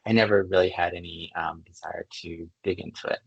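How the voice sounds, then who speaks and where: Husky low-voiced man hosting a podcast. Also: steady tone, a little fast, no echo, highs cut off. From Canada. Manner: articulate and reflective, laughs easily. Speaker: podcast host. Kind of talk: conversational interview.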